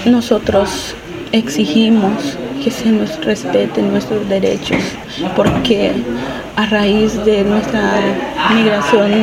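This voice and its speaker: A woman's voice: medium pitch, low-quality recording. A woman in her forties from Honduras, gives an earnest, pleading street interview as a migrant mother searching for her daughter, stumbling over her words at times. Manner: earnest, pleading, halting restarts. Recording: loud crowd noise outdoors.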